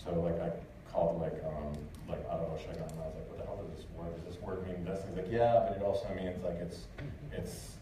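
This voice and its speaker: A man's voice: deep voice, little pitch movement, fairly quick, hardly any pauses, warm tone. A man from the United States answering audience questions after a lecture, loose and chatty, with lots of fillers and restarts. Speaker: lecturer and translator. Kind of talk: casual Q&A after a talk. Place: lecture hall. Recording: echoey hall, distant mic, noisy.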